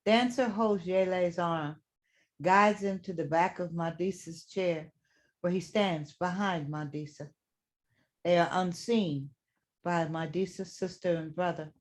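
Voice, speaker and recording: A woman reading stage directions for a play in a measured, deliberate manner. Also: lowish voice, fairly even intonation.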